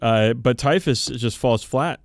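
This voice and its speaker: Male podcast co-host, mid-pitched voice, blunt and emphatic, sweary, very dry recording. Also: measured pace, gravelly, no room sound. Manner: profane, emphatic, opinionated. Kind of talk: casual chat about tabletop wargaming.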